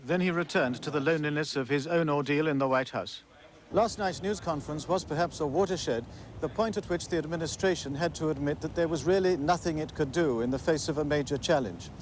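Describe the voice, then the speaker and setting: A man narrating a scripted television news report as a correspondent, measured and a little wry. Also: fairly high pitch, slight background noise. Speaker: foreign correspondent.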